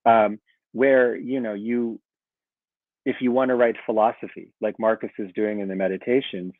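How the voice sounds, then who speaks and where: A man with a fairly low voice answers a question at length, thoughtful and full of fillers. His voice comes over a tinny, phone-like video call line, and the sound is dry with no room echo. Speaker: guest lecturer. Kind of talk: academic Q&A answer.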